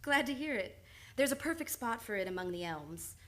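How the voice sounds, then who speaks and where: A woman's voice: a little high, animated, sharp tone. A woman playing a precise, reserved young lady psychiatrist. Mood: polite. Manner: formal, measured, articulate. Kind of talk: old-time radio play acting.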